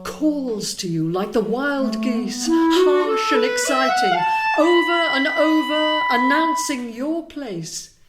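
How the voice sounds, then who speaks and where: A woman giving a reflective poetry reading in a measured way, with deliberate pauses between phrases. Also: sits high, animated. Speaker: poetry reader. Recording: echoey, with woodwind music over the voice. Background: prominent woodwind music.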